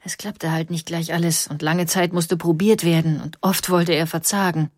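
A woman's voice: fairly low pitch, steady tone, moderate pace, dry recording, clean studio sound, close to an everyday voice. A woman playing an inventor's wife who recalls years of struggle in a scripted recollection. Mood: wistful.

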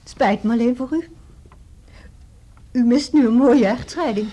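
A woman's voice: fairly high pitch, measured pace, mellow. An actress with a Netherlands Dutch accent playing a piqued, wavering married woman in a dramatic 1960s radio comedy style. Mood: sheepish, relenting. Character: indignant, wavering married woman seeking a divorce.

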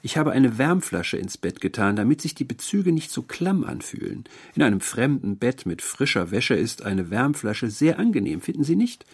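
A man's voice: medium pitch, sing-song, moderate pace, few pauses, breathy edge, pitched above the performer's own voice. A man voicing a sweet, doting but eerie old landlady, gushing and overly polite, in an audiobook. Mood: fussy, welcoming. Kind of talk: audiobook character voice.

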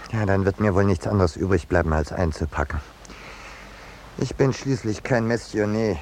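An actor playing a mild, peace-loving painter in a 1950s radio play, polite, hesitant and dryly ironic. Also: male voice, sits low, normal speed, darkish tone, natural voice. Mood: dry, resigned. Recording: noisy old 1950s radio recording.

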